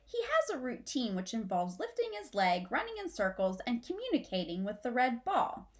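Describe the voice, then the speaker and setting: A woman's voice: a little high, huge pitch swings, normal speed. A middle-aged woman reading a children's story aloud expressively, like a teacher, in a room at home. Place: small furnished room at home, indoors.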